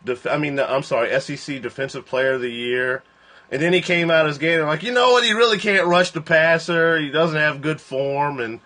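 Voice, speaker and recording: Rambling, laughing man in his forties. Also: brisk. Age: 40s. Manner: rambling, laughing, lively swings in pitch. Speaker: host of a livestream. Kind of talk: casual joking chat. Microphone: phone.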